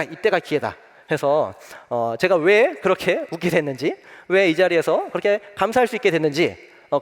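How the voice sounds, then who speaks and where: A young man preaching a sermon as pastor, telling a personal story with many pauses and filler sounds. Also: high-pitched, long reverb tail. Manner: gentle, frequent "eo" fillers, warm storytelling.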